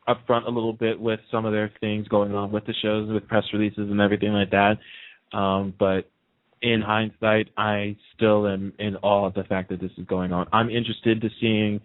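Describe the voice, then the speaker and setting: A man hosting a talk show, chatting casually and giving opinions emphatically, often repeating a point for emphasis. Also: on the low side. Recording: narrow, muffled phone-like sound.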